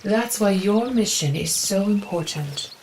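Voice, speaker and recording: An actress playing a reverent, solemn woman devoted to a sacred forest, speaking in a measured, devout way in a video game. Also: medium pitch, expressive, darkish tone, a little hiss or hum, natural voice, poor audio. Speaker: quest-giver. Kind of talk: dramatic video game voice acting.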